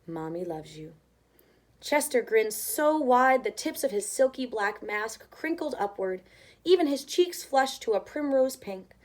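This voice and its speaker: A woman reading a picture book aloud to children as an expressive storyteller. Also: high voice, a little slow.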